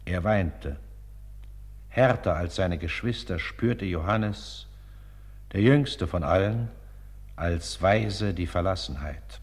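A man narrating a scripted religious life story in a solemn, measured manner, with long reverb around him. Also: sits low. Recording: long reverberant hall sound.

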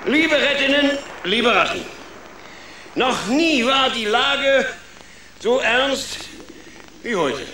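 A pompous old rat patriarch, high-voiced and grandly indignant. The delivery swoops dramatically and lingers on words, over noisy ambient effects. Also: lively intonation, slow pace, long pauses, pushed and bright, heavily acted. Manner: grandiose, declamatory, theatrically indignant. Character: pompous, self-important old male leader of sewer rats. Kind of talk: children's radio play acting.

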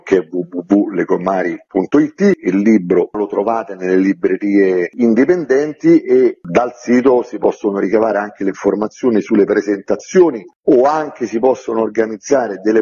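Guy getting interviewed on radio, husky mellow voice, explains stuff earnestly, kinda muffled sound. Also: lowish voice. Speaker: author interviewed about a book.

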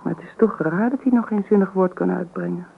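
An earnest young wife, tinny sound. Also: female voice, fairly low pitch, brisk, creaky. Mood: earnest, worried. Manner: earnest, insistent. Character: earnest, pleading young wife and worried mother. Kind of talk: naturalistic radio drama acting. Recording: old radio play, phone-like sound, noisy.

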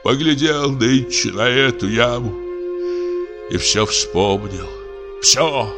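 A man's voice: mid-range voice, slow pace, pitched above the performer's own voice, natural voice. Man acting a maudlin, drunken old stage comic. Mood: wistful, impassioned. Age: 50s. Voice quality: breathless, trembling. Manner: feverish theatrical lamenting. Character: drunken lonely 58-year-old comic actor, maudlin and frightened. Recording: music under the voice. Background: music.

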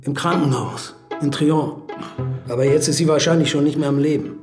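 Higher-pitched spiteful villager acted by a man, roomy echo. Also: normal speed, music in the background, close to an everyday voice. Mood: scornful, accusing. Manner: bitter, scornful, accusing. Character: hostile, spiteful villager accusing a foreigner.

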